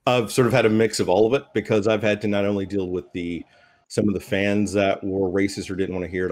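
Deep-voiced man on a panel. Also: darkish tone. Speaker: panelist, game designer. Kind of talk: thoughtful panel discussion. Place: indoor room at home. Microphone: video call.

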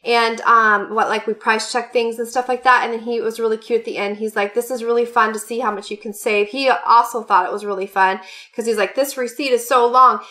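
Bubbly bright-voiced woman in her late 30s. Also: a little high, fairly even intonation, fairly quick. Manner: bubbly, gushing, many fillers and "you guys". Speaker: haul vlogger. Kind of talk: casual chatty product haul. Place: home room with party decor, indoors.

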